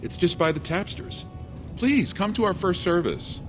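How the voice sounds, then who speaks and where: An actor playing an earnest, pious and eager religious brother in a fantasy video game. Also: male voice, high-pitched, animated, moderate pace, over music. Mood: joyful, grateful.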